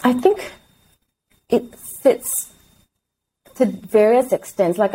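A woman's voice: medium pitch, vocal fry, dark tone, rough recording. A woman from Singapore with a Singaporean accent, answering interview questions in a reflective, tentative conversational way. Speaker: interview guest.

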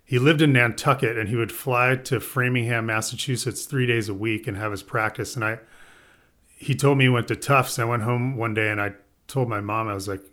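A man who grew up in the Midwest, a winemaker talking as a podcast guest in a relaxed, rambling way. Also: mid-range voice, brisk. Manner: relaxed, rambling, with fillers and laughs. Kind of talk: casual interview chat.

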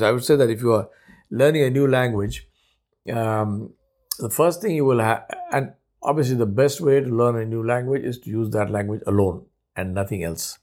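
A man in his seventies from India, whose first language is Urdu, hosting a solo podcast in a thoughtful, unhurried way with many pauses. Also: mid-range voice, darkish tone. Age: 70s. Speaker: podcast host. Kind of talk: reflective solo podcast monologue.

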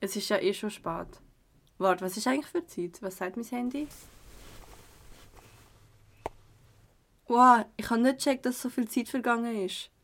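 A young woman with a Swiss German accent playing a kind, apologetic partygoer in an audio drama, speaking gently and hesitantly. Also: a little high, a little fast. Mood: worried, guilty. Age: young adult. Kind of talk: amateur audio drama dialogue. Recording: music underneath.

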